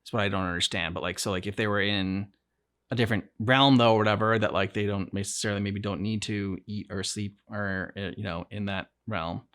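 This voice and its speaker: A man's voice: on the low side, fairly even intonation, fairly bright tone. Rambling man chatting casually in a reaction video. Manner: rambling, halting, repeats himself. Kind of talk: casual chat, reacting to a game.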